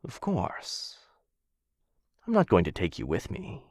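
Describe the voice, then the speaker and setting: A man performs a male dragon who keeps a human as a pet, in the style of boyfriend ASMR roleplay. The voice is very low and unhurried, captured close and dry. He is theatrically courteous and coaxing, with a possessive, condescending fondness. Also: wildly swooping pitch, darkish tone, no echo, full character voice. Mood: smug, teasing. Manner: mock-polite, coaxing, theatrical, condescendingly affectionate.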